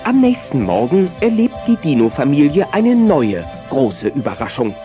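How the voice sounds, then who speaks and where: A man's voice: a little high, big pitch swings, moderate pace. A man narrating a German comedy audio play in a storytelling style. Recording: slightly muffled tape transfer. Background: music.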